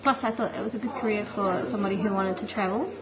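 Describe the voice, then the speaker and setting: A woman's voice: mid-range voice, steady tone, fast. Brief: chatty NZ woman, thirties, interview over a dull phone line, crowd chatter behind. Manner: chatty, laughs easily, reflective. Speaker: interviewee, teacher. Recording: muffled phone-call recording with crowd noise behind.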